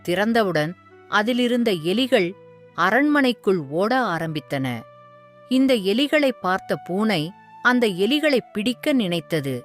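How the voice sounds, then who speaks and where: A woman narrates a children's story slowly and calmly, at a mid pitch. She tells it in a measured way, with light music under her voice.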